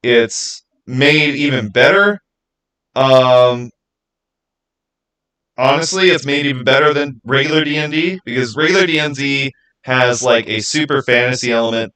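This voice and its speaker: A man's voice: medium pitch, a little slow, bright, forceful tone, poor audio. A man chatting informally as a livestream host, answering viewer questions and rambling as he thinks aloud. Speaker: livestream host and game master.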